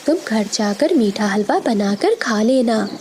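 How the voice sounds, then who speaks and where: Mellow, kindly farmer's wife, acted by a woman. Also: on the high side, expressive, measured pace, slight background noise. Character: kind, generous farmer's wife.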